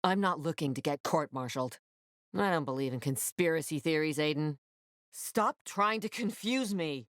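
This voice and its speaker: Low-voiced, tough military officer. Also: female voice, expressive, normal speed, dry recording, studio quality. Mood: cold, threatening. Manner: clipped, authoritative, no-nonsense. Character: tough, commanding female military officer, curt and foul-mouthed. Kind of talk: video game voice acting.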